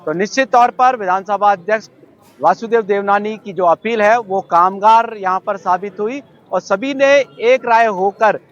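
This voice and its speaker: A man's voice: sits high, normal speed. A male news correspondent giving an unscripted live report in formal, repetitive phrasing. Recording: dull, band-limited live link.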